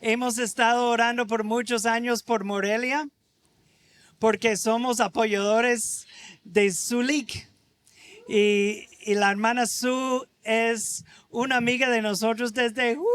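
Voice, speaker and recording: A middle-aged American man, a native English speaker, preaching as a guest in halting Spanish with frequent restarts. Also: extremely high pitch, fairly bright tone, no room sound. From United States. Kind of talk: sermon.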